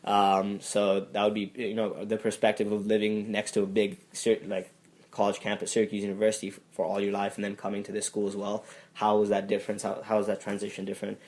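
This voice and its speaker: A man's voice: lowish voice, completely flat delivery, fast talker, a little mellow. A young man from Tanzania, a student being interviewed, who talks thoughtfully with many fillers and restarts. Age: young adult. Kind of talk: casual interview.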